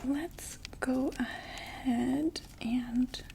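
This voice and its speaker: A woman whispering a gentle, soothing ASMR roleplay as a friendly makeup saleswoman, often repeating words softly. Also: high-pitched, very slow, creaky, noisy background. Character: friendly female vampire door-to-door makeup saleswoman.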